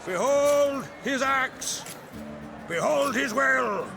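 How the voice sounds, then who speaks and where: A man playing a gruff, hearty older clan chieftain in a video game, with a gravelly voice and blunt, declamatory delivery. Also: very high voice, lively intonation, very slow, heavily acted. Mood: rousing, proclaiming. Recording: loud music behind the voice.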